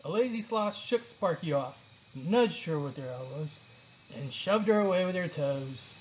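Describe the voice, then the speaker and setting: Expressive storyteller reading a children's book. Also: mid-range voice, unhurried, highs cut off, slight background noise. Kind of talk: expressive children's read-aloud narration.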